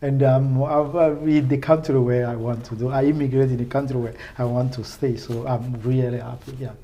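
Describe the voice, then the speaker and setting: A man's voice: medium pitch, steady tone, dark tone. Enthusiastic man with a Francophone West African accent. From Benin, West Africa. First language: French. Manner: enthusiastic, repeats phrases, halting English phrasing. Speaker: research professor, podcast guest. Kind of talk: casual spoken interview.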